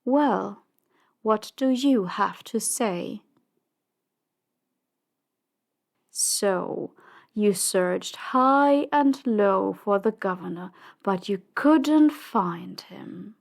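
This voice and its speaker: A woman playing a cold, ruthless crime boss in an audio drama, commanding and dismissive in a measured way. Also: medium pitch, expressive, vocal fry, full character voice. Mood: stern, interrogating. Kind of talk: audio drama roleplay acting.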